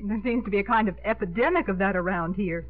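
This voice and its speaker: A woman's voice: medium pitch, fast talker, close to an everyday voice. Woman playing a poised lady, thin radio sound. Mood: coaxing. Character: poised, flustered, coy middle-aged widowed lady mayor. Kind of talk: 1950s radio romantic comedy acting. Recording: narrow-band 1950s radio, music behind.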